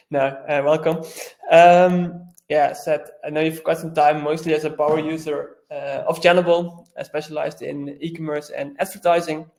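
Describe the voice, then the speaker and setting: A man co-hosting a webinar, introducing the guest in a halting, repetitive way. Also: fairly high pitch, flat delivery, darkish tone.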